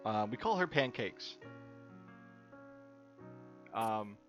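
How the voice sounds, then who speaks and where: A man's voice: lowish voice, lively intonation, very slow, a bit bright. Male let's play commentator, offhand and conversational, varied intonation, muffled recording with faint music. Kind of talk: casual gaming chat. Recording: dull lo-fi mic, faint music behind.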